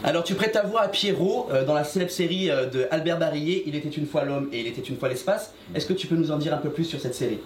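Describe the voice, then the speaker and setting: Male interviewer with raised pitch. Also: quick pace, few pauses. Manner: brief acknowledgements between questions. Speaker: interviewer, channel host. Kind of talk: interview questions, partly read. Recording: echoey room with faint noise.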